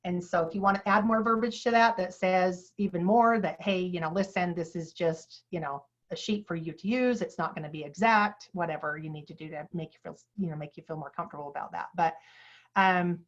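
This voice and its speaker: A woman teaching a real estate class on listing paperwork, chatty and full of asides and fillers, with a dry throat she keeps clearing. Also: fairly low pitch, a little fast. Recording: dull lo-fi sound.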